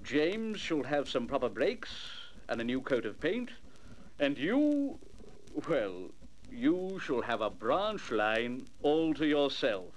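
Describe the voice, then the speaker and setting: A man's voice: medium pitch, big pitch swings, slow pace. Man playing a portly, emphatic railway director. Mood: pleased. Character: portly, authoritative, approving male railway director. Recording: noisy old storybook LP.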